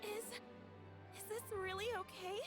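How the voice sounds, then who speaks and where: A woman's voice: extremely high pitch, flat delivery, unhurried, over music. A woman playing a polite, hesitant young bride-to-be in a video game. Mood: timid, grateful. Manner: hesitant, deferential. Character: polite, grateful young bride-to-be, timid customer.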